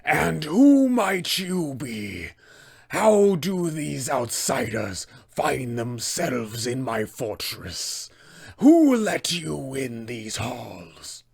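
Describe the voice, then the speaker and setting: A man voicing an insane, imperious old dwarf tyrant for a tabletop game, raspy and gravelly, with a grandiose, menacing delivery. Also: mid-range voice, big pitch swings, exaggerated voice. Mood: imperious, suspicious. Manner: slow, grandiose, menacing, theatrical. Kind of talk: villain monologue for a tabletop game.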